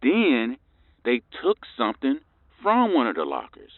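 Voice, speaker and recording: An actor playing a self-important, nosy small-town informant, conspiratorial and insistent, in a comedy audio drama with a telephone filter. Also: male voice, mid-range voice, wildly swooping pitch, a little slow, no room sound, exaggerated voice. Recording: thin, band-limited phone line.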